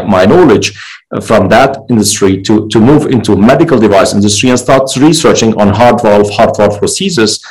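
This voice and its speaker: A man from Lebanon answering interview questions about his career, speaking haltingly with many fillers and restarts. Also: lowish voice, poor audio. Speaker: regulatory consultant, guest.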